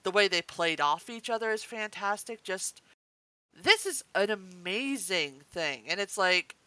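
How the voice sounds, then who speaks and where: A woman's voice: medium pitch, lively intonation, measured pace, pushed and bright, no room sound, poor audio. A woman co-hosting a casual review discussion, talking informally. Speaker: podcast co-host.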